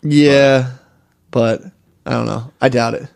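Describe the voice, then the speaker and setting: A man's voice: mid-range voice, fairly even intonation, slow pace, gravelly, darkish tone. A man co-hosting a comedy podcast in casual, profane, slangy banter, peppered with "dude.". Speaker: podcast co-host.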